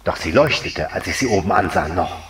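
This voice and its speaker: A man's voice: deep voice, expressive, brisk, fairly bright tone, pitched below the performer's own voice. A man voicing an anguished, lovelorn female water spirit in a solemn, lyrical dramatic monologue. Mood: mournful. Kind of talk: literary dramatic monologue recitation. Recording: echoey room, distant mic, music underneath. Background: music.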